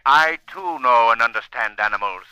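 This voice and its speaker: An actor playing a composed, commanding, mysterious crime fighter in an old-time radio drama, with measured, dramatic delivery. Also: male voice, medium pitch, expressive, sharp tone, clear, resonant tone, dry recording, dull audio. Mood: calm, commanding.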